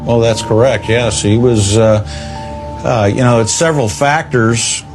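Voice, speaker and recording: A man interviewed as a former investigator, speaking in a hesitant, halting way. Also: medium pitch, fairly even intonation, over music, rough recording.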